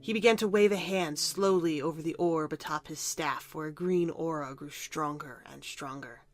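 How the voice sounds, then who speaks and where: A woman's voice: sits low, normal speed. A woman narrating a scripted fantasy audio drama in storybook style. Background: music.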